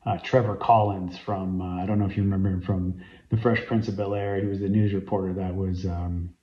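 Deep-voiced Canadian man talking to his phone. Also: flat delivery, fairly quick. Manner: earnest and enthusiastic, with frequent ums and uhs. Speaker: fan site founder and interviewee. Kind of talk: casual interview talk to camera. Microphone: phone camera at arm's length. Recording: roomy, slightly dull phone audio.

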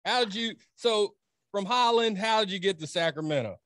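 Podcast host, thirties, high-pitched, dry studio sound. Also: male voice, moderate pace, no room sound. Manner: hyped, animated introductions. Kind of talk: casual chat. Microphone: studio podcast mic.

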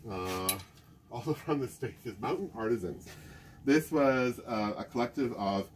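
A man curator chatting casually about clothes on a rack, often pausing to search for names, recorded by a camera in a museum basement storage room. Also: medium pitch, animated, slight background noise. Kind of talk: casual show-and-tell chat.

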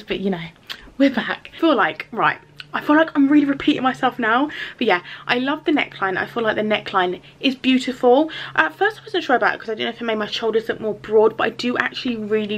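A woman's voice: a little high, fairly bright tone. A young woman chatting frankly to camera in her bedroom about a dress she is trying on, filler-heavy and casual. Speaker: fashion vlogger. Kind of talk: casual try-on chat to camera. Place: small bedroom at home. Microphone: camera mic at arm's length.

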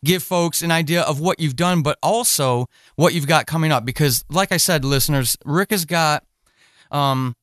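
A male podcast host with a fairly high, very smooth voice in a dry recording. He chats casually with his guest and listeners. His delivery is earnest and encouraging. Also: moderate pace, a bit bright, no echo. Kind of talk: casual conversational interview.

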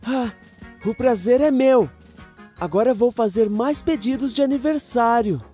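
A woman plays an eager young boy engine from a children's cartoon. The Brazilian voice is fairly high and soft-edged, upbeat and wide-eyed, with music playing behind it. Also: measured pace, lightly acted. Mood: cheerful. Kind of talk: animated children's cartoon dialogue.

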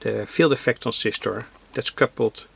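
Creaky man explaining electronics. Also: medium pitch, fairly bright tone. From Netherlands. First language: Dutch. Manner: halting, plainly explanatory. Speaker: hobbyist radio builder, presenter. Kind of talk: unscripted technical demonstration. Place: indoors at a home workbench. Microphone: handheld camera's built-in microphone.

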